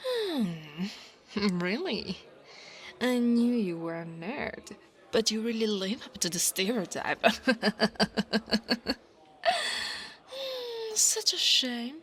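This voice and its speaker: A woman's voice: a little high, wildly swooping pitch, takes it slowly, a bit bright, heavily acted. A woman playing an insecure, mocking young female dragon bully in an ASMR roleplay, with a taunting, drawling delivery. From Italy.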